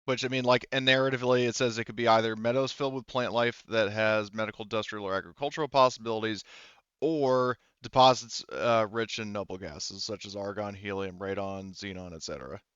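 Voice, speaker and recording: A man running a tabletop role-playing game as game master, casual and halting as he thinks aloud. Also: fairly low pitch, steady tone, fairly bright tone, clear, resonant tone, no room sound. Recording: dull, lo-fi sound.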